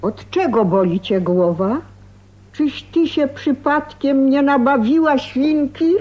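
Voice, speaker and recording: An actress playing an overbearing, fussy older aunt who nags and reproaches, in a radio drama style. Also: female voice, sits high, slow pace. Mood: fretful, nagging. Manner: nagging, fussing, reproachful. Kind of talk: Polish radio drama acting. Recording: muffled late-1980s radio drama recording.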